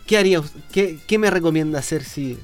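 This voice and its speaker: A man hosting a local broadcast interview, asking brisk, chatty questions. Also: a little high, soft background music. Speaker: interviewer, host.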